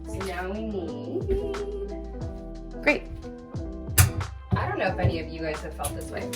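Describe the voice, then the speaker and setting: A woman's voice: on the low side, measured pace. Chatty young woman in an echoey room. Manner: chatty and excitable, talks to herself while working. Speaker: DIY home makeover vlogger. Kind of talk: casual vlog narration and self-talk. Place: room under renovation, indoors. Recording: echoey room, distant mic, music underneath.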